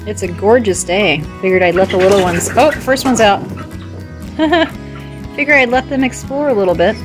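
A woman's voice: medium pitch, normal speed, over music. A woman with the hiccups chatting lightly outdoors on a homestead, speaking away from the microphone. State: has the hiccups. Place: outdoors in a farmyard.

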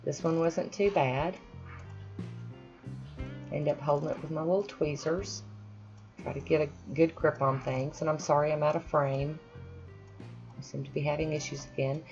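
A woman's voice: deep voice, fairly even intonation, normal speed, pauses often, a little mellow, lo-fi sound, faint music behind. A woman chatting casually over her own nail painting, self-deprecating with friendly asides. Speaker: nail art vlogger. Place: home manicure station, voice-over.

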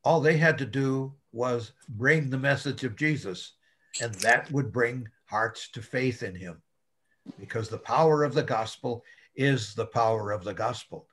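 An older man, a pastor, slowly teaching a Bible class over a video call, explaining step by step. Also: mid-range voice, fairly bright tone, a little hiss or hum. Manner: slow, deliberate, explaining with repeated "okay?". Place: home room on a video call. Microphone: video call webcam.

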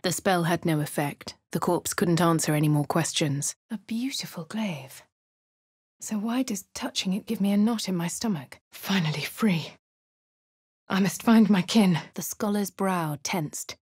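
A posh female adventurer with a posh English accent, recounting her fights in first person. Her voice sits a little low and has a husky grain, recorded dry and close in a game studio. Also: normal speed, dry recording. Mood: wry. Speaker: player character voice.

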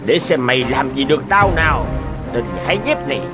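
Guy doing a hungry evil cartoon fox, taunting and gloating, voice swoops all over, loud music behind. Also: mid-range voice, expressive, brisk, close to an everyday voice. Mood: gloating menace. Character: sly, greedy, menacing hungry male fox villain. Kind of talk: children's cartoon voice acting.

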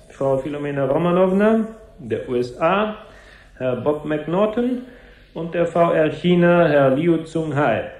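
An actor playing a formal, long-winded head of public relations at a press conference in a radio drama. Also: male voice, a little high, darkish tone, reverberant.

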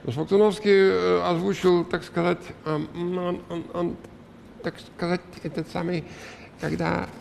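An older man being interviewed as a guest actor on a studio talk show, speaking haltingly with many filler sounds. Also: sits high, little pitch movement, creaky, a little mellow, big-room sound, slight background noise. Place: TV studio with audience. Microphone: headset mic.

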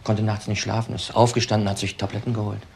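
Halting young widower, noisy old recording. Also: male voice, lowish voice, little pitch movement, vocal fry, darkish tone. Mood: evasive, subdued. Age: 30s. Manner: halting, hesitant, repeats words. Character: young widower husband, agitated, evasive under questioning. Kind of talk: German crime drama acting.

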